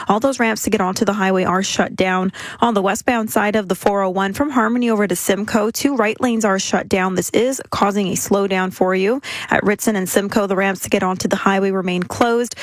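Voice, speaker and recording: A woman's voice: mid-range voice, dry recording. Dry-sounding woman reading traffic. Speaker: traffic reporter. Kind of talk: radio traffic report.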